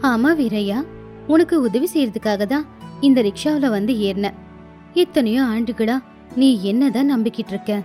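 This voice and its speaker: A woman voicing a benevolent mother goddess in an animated devotional story, speaking in a gracious, assured and caring manner. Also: fairly high pitch, moderate pace, faint music behind, natural voice. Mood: benevolent, reassuring. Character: benevolent mother goddess, first disguised as graceful lady.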